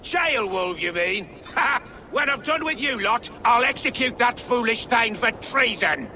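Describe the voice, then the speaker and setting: A proud, embattled medieval king, declaiming imperiously in a video game scene. His voice is very high and hard-edged, full of defiance. Faint noise sits behind him. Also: lively intonation, unhurried, pushed and bright, heavily acted. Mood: mocking. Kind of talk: theatrical video game acting.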